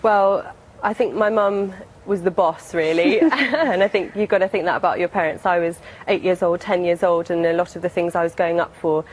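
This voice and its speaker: A British woman in her twenties chatting casually as an interview guest, softening what she says as she goes. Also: on the low side, fairly even intonation, moderate pace, few pauses, poor audio. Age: 20s.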